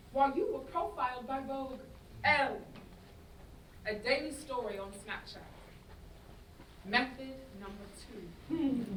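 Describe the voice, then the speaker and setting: A woman's voice: sits high, pushed and bright. A woman playing a brash, sardonic young social media ranter in an emphatic, slangy staged monologue. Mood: mocking, biting. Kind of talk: theatrical monologue in staged reading. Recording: roomy, distant, faint noise.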